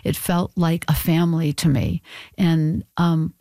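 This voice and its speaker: Creaky-voiced woman, reflective and hesitant. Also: deep voice, fairly even intonation, a little slow, warm tone, no echo. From Portland, Maine, USA. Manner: thoughtful, hesitant, many fillers and restarts. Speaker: interview guest, stage actor. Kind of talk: reflective casual conversation.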